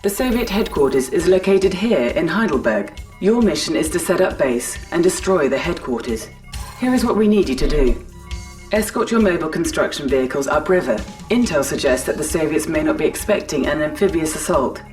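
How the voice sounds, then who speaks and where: A woman's voice: low voice, expressive, fairly quick, darkish tone, distant mic. A woman playing a composed battle announcer and mission adviser in a video game, clipped and businesslike. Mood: matter-of-fact. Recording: game audio with music behind.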